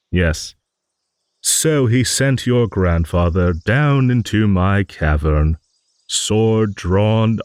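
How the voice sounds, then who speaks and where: A man's voice: sits low, a little mellow, no room sound, studio quality, heavily acted. A man playing a genial, nostalgic old dragon in an improvised fantasy monologue, musing and rambling with hums and hesitant asides.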